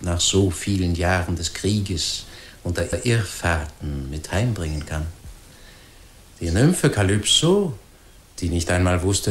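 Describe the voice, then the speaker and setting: An actor playing an earnest, learned doctor in a literary radio drama, reflective, eloquent and emphatic. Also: male voice, low voice, a bit bright. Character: earnest, philosophical learned doctor, easily astonished. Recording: faint noise and ambience behind.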